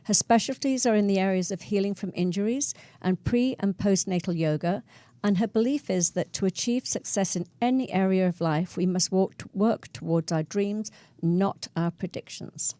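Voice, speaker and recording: A woman's voice: mid-range voice, normal speed, few pauses, no room sound. A woman co-hosting a talk show, reading a guest introduction and asking questions with frequent encouraging interjections. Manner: warm affirming interjections, reads guest intro. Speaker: co-host. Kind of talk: talk show host chat.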